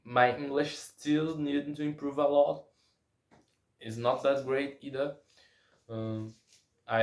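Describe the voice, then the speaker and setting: Young guy, portuguese is his first language, talking english slow and halting, echoey room. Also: mid-range voice. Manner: halting, searches for words in a second language. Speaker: language-learning vlogger. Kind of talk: unscripted personal monologue.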